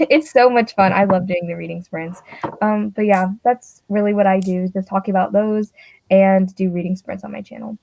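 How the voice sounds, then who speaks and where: A woman's voice: medium pitch, fairly even intonation, moderate pace, vocal fry, a little mellow, dry recording. Young woman, book vlogger, rambling, lots of fillers, dry close sound. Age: young adult. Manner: rambling, filler-heavy, self-deprecating. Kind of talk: casual chat.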